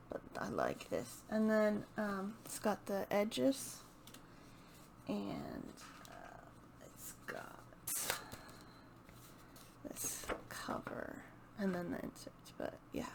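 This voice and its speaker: A woman chatting casually and unscripted as a book vlogger, hesitant and halting as she thinks aloud. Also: medium pitch, gravelly, a little mellow, slight background noise. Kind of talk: casual unscripted chat to camera.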